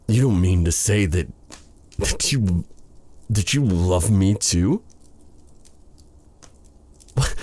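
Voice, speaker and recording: A man playing a lovestruck, self-doubting exiled dark sorcerer in an intimate fantasy audio roleplay, breathy, halting and formal in his phrasing. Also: lowish voice, exaggerated voice. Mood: stunned, disbelieving. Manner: flustered, stammering, halting, formal old-fashioned phrasing.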